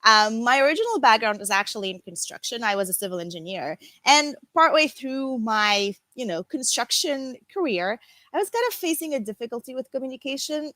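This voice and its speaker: A woman from Lebanon in her thirties talks about her coaching work. Her voice sits a bit high and is close and dry. She speaks thoughtfully, pausing now and then. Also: a bit bright, dry recording. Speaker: coach and corporate trainer. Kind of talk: interview guest.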